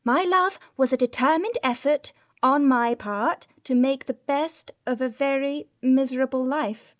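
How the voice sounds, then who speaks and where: High-voiced, proud, wounded woman lover. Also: unhurried, no room sound, clean studio sound. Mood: bitter, reproachful. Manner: dramatic, expressive. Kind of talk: theatrical audio drama acting.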